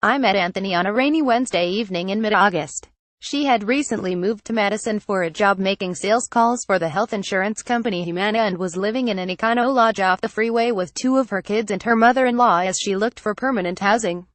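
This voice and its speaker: A woman reading a long magazine news feature aloud in a measured, even narration. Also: medium pitch, no room sound.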